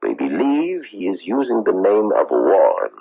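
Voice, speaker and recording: An actor playing a solemn, insistent government investigator from abroad in a 1970s radio drama, with formal, deliberate, pleading phrasing. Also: male voice, deep voice, wildly swooping pitch, gravelly, husky, exaggerated voice. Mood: measured, dry. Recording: muffled tinny old radio broadcast.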